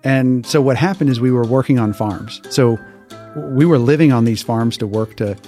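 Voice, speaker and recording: Mellow-voiced man telling stories. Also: medium pitch, moderate pace, soft background music. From Hawaii. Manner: relaxed, laughs often, restarts words. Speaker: guest. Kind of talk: casual interview storytelling.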